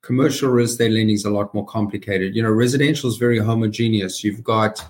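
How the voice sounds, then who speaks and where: A man's voice: lowish voice, fairly even intonation, quick pace. A middle-aged or older man with a South African accent, answering conversationally as a podcast guest. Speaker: podcast guest, company founder and chief executive.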